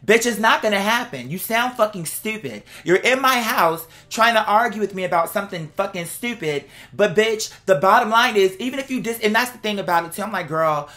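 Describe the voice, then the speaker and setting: A woman's voice: medium pitch, lively intonation, a little fast, few pauses, a bit bright. A woman ranting casually to her viewers, blunt, sassy and exasperated, with emphatic, slangy, profanity-laced delivery. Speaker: vlog host. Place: indoors at home.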